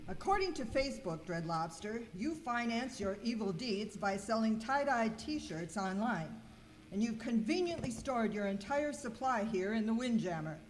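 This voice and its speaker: A woman's voice: medium pitch, expressive, normal speed, lightly acted. A woman playing a confident, wisecracking cleaning superhero in an amateur comic radio skit, declaiming in mock-heroic style. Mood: triumphant. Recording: clearly noisy live room recording.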